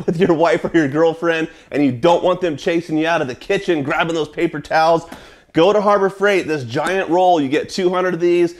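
Upbeat American man in a workshop. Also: on the high side. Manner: energetic, salesy, many "uh" fillers, gestures with products. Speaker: woodworking channel host. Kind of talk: tool recommendation vlog. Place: small wood workshop indoors.

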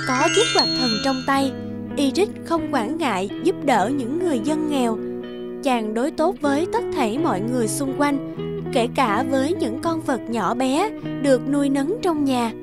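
A woman narrates a children's fairy tale in a high voice. Her scripted storytelling plays over background music.

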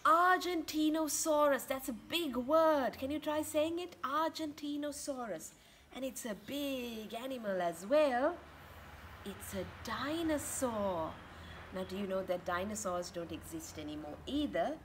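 A woman in her thirties doing an interactive picture-book read-aloud for young children, expressive and full of questions to her listeners. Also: high-pitched, unhurried. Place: outdoors in a home garden. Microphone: camera a couple of metres away. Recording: outdoor ambient garden noise.

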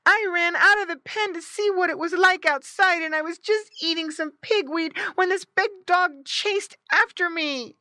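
A woman voicing a frightened, pleading little boy pig with a shrill squeal in a storybook reading. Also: normal speed, hardly any pauses, pushed and bright, no room sound. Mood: upset.

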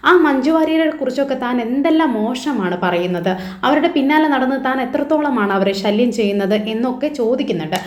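A woman's voice: high-pitched, lively intonation, fairly quick. A woman giving informal news commentary to online viewers as the host. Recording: echoey, roomy voice-over.

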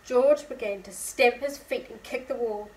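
A woman in her twenties reading a picture book aloud to young children, expressive and asking listeners questions. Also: on the high side, normal speed. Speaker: preschool storytime reader. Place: indoor room, seated beside a whiteboard. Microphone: camera a few feet away. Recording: distant camera mic, faint room noise.

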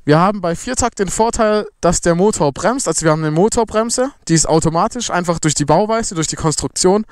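A man's voice: high-pitched, a little fast, smooth, no room sound, studio quality. A young man giving a casual how-to explanation while riding a motorcycle outdoors, recorded on a ride-along camera. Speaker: motovlogger. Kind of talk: riding tutorial commentary. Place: outdoors on a motorcycle on a closed road. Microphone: helmet or body camera while riding. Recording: faint motorcycle engine noise. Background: motorcycle engine.

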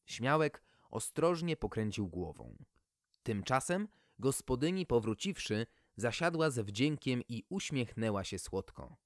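A man's voice: medium pitch, sing-song, unhurried, no echo, clean studio sound. A man reading a scripted fairy tale as a storyteller, with a wry delivery.

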